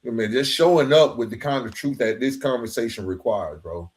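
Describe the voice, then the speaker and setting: A man's voice: medium pitch, fairly quick. A man hosting a podcast in a casual interview style, affirming his guest and peppering his talk with informal, buddy-like address. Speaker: podcast host.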